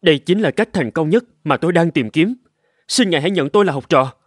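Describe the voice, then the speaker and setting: An actor playing a naive, obsessed young husband in an animated folk tale, earnest and pleading, stammering when flustered. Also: male voice, a little high, quick pace, breathy edge, no echo, studio quality. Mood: eager pleading. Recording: music bed under the voice.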